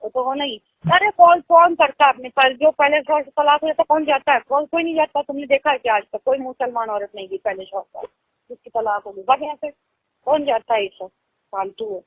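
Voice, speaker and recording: A woman's voice: sits high, a little fast, dry recording. A young woman with a Lucknow Hindi accent, chatting casually on a phone call and explaining things at length. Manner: explains at length, laughs easily. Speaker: phone caller. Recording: tinny phone call recording.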